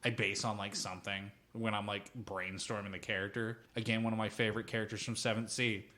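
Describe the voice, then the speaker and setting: A man co-hosting a casual tabletop gaming chat, rambling through anecdotes with frequent swearing and an animated storytelling manner. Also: fairly low pitch, a little fast. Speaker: podcast co-host. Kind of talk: casual chat about tabletop role-playing.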